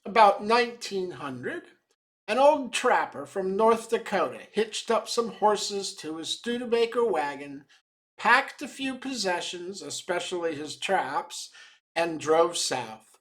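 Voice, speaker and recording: A man giving a dramatic reading of a short tale, measured and theatrical as a storyteller. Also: sits high, sing-song, fairly bright tone.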